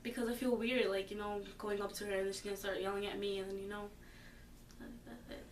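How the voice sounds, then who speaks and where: A fifteen-year-old girl answers questions in a casual, halting way, full of fillers, and turns firm when she makes her point. Her pitch stays flat and unvaried. She sits well away from the microphone in a roomy, reverberant space with a faint hum of ambience. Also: recorded from a distance. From born in the United States. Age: teens. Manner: hesitant, many fillers, grows emphatic. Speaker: high school student interviewee.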